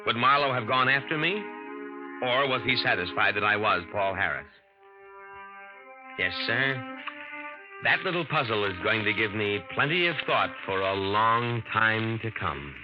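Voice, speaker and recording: A man's voice: fairly low pitch, animated, a little slow. A man playing a clever, nervous young impostor who narrates in a tense, confiding, hard-boiled way. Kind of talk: first-person radio drama narration. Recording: muffled 1950s radio drama with music.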